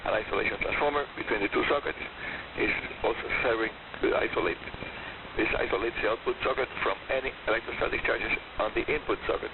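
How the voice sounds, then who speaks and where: Gravelly man on hissy shortwave radio. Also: on the low side, fast talker. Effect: shortwave radio reception. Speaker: radio programme host. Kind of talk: scripted shortwave radio programme presentation. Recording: hissy, narrow-band shortwave radio broadcast. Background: radio static and hiss.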